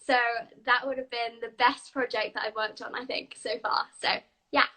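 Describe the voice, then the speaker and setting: Bubbly British girl, high and bright. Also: fairly even intonation, normal speed. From England. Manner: bubbly, giggly, eager. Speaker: guest actress. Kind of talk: live video interview.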